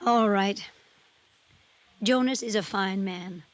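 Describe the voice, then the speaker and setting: An actress playing a proud, tart-tongued elderly wealthy widow in a 1950s radio drama. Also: female voice, medium pitch, slow, close to an everyday voice. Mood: uneasy, wry. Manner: haughty, tart, teasing. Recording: 1950s radio broadcast recording.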